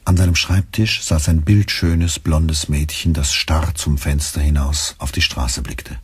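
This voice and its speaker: A man with a husky voice narrating a German literary radio play from a script. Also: rumbling low, steady tone, measured pace, no echo.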